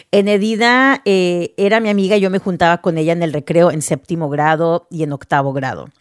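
A woman's voice: fairly low pitch, steady tone, moderate pace, dry recording, clean studio sound. A woman from the United States tells personal stories casually and candidly, mixing in English words. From United States (New Jersey, later Texas). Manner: candid, conversational, mixes English words into Spanish.

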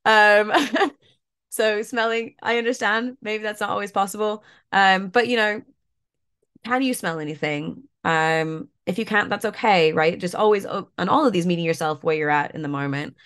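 A woman guest, a yoga and mindfulness coach, talking in a relaxed way with lots of fillers while stuffed up and a bit croaky. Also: mid-range voice, moderate pace. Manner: relaxed, many fillers like "like" and "you know". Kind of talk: casual interview talk, guiding an exercise.

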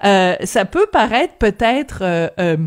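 A woman's voice: mid-range voice, sing-song, slow pace. A woman with a Quebec French accent interviewing a guest, enthusiastic and affirming. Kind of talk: friendly interview.

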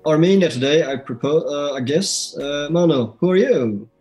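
A middle-aged man hosting a podcast, relaxed and chatty, often trailing off on "and, uh". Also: a little high, normal speed, faint music behind. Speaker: podcast host. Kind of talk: casual interview.

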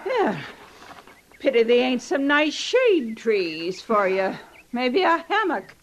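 An actress playing a bitter, hard-bitten older frontier laundress with a rural American accent, scolding and sarcastic in an old-time radio drama. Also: female voice, on the high side, sing-song, slow. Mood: scornful.

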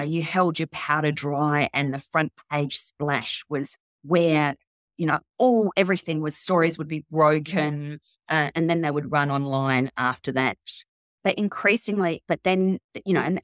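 Casting: woman, low voice, interview guest, journalist explaining her craft with asides, close dry sound. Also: dry recording. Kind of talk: conversational interview answers.

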